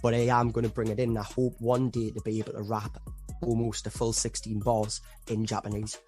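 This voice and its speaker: A man's voice: lowish voice, monotone, brisk, warm tone, faint music behind. A young English man with an English accent, a rapper guest on a podcast, talking earnestly and reflectively and saying "me" for "my". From England. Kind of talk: casual interview chat.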